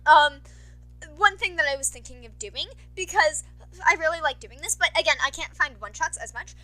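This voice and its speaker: A woman's voice: high-pitched, a little fast, bright, forceful tone, poor audio. A young adult woman chatting casually to her listeners in a scattered, effusive, apologetic way, like an informal update from a podfic reader.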